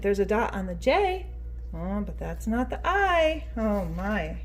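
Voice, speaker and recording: Animated woman reading aloud to small children. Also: a little high, slight background noise. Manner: teacherly, addressing small children, prompting them with questions. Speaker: children's read-aloud storyteller. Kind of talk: expressive picture book read-aloud with questions to young listeners.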